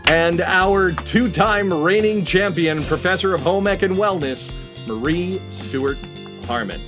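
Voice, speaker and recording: A man's voice: high-pitched, a little slow, over music. A middle-aged man hosting a comedy panel game with playfully ceremonious, announcer-like introductions. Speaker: game show host.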